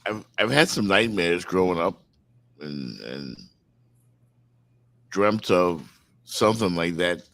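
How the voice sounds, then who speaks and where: Deep-voiced man, casual morning show co-host. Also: sing-song, slow pace, long pauses, a bit bright, no room sound. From Bahamas.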